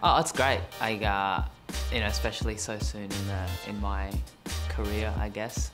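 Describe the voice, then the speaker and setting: A young man, a stand-up comedian, chatting in an interview in a venue hallway with lots of fillers and a relaxed manner. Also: lowish voice, normal speed, loud music behind the voice. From Perth, Western Australia. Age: young adult.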